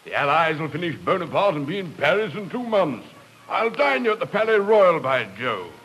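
An actor playing a pompous, boastful, excitable gentleman with an English accent in a 1950s radio drama. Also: a little high, normal speed, breathy edge, faint music behind, exaggerated voice, low-quality recording. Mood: boastful bluster. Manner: pompous, blustering.

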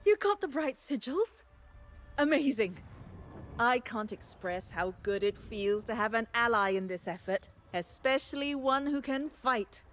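A thoughtful, nature-loving alchemist in a fantasy game. Her voice is on the high side, expressive and reflective as she explains, with music playing faintly behind. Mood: eager, grateful. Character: eager, thoughtful female alchemist, devoted to nature. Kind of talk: scripted game dialogue.

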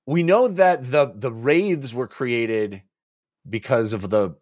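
A man's voice: medium pitch, takes it slowly, darkish tone, no echo, studio quality. A man in his forties co-hosting a book club podcast, talking casually with jokey, hesitant asides into a desk mic. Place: small home office, indoors. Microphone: close desk microphone on boom arm.

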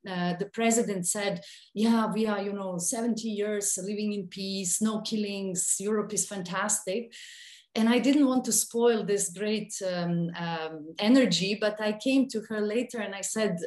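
A woman's voice: mid-range voice, darkish tone. A woman film director from Bosnia and Herzegovina, answering in a panel discussion over a video call, with fillers and slightly non-native phrasing. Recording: roomy video call audio.

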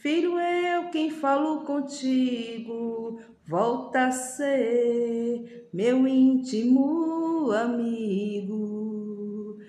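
A woman in her thirties from Brazil, giving a devotional sermon to her phone camera, fervent and pleading, often slowing down for emphasis. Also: high-pitched, dark tone. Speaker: lay preacher. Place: small room indoors. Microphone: phone camera at arm's length. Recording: echoey room with faint background hum.

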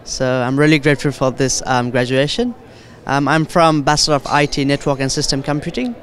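A man's voice: mid-range voice, steady tone, brisk, a bit bright. Young man. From Nepal. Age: young adult. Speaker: graduating student. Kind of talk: thank-you message to camera. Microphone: handheld microphone.

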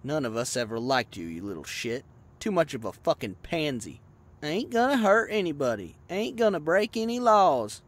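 A man's voice: a little high, very animated, normal speed. A man playing a hot-tempered young small-town thug in an audio drama, taunting in coarse slang. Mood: scornful. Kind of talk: audio roleplay acting.

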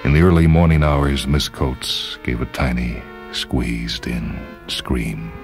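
Very deep-voiced male story narrator. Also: level tone, slow pace, vocal fry. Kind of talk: dramatic literary story narration. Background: music.

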